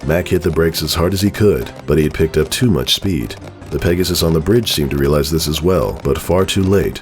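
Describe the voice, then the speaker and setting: A man with an extremely low voice narrates a story in a dramatic scripted reading. Music plays behind him.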